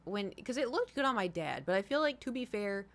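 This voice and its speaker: A woman in her thirties talks as a livestream host in an informal, off-the-cuff way. She rambles through her thoughts in casual chat, doubles back on phrases for emphasis and swears freely. Her pitch sits in an ordinary middle range for a woman. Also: sing-song, fairly quick. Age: 30s.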